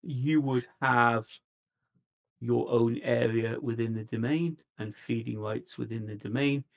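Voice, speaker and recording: Gravelly diplomatic vampire envoy played by a man. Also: medium pitch, fairly even intonation. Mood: conciliatory. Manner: measured, persuasive, formal. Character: earnest, diplomatic vampire envoy seeking a truce. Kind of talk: in-character tabletop roleplay dialogue. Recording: dull lo-fi livestream audio.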